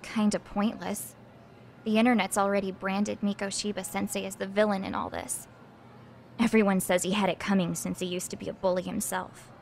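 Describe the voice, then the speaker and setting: An angry teenage schoolgirl with a scornful, brash delivery and a middling pitch , voiced by a woman for a video game. Faint background noise sits under her voice. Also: little pitch movement, normal speed, gravelly, a little mellow, natural voice. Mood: dismissive. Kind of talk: English game dub dialogue.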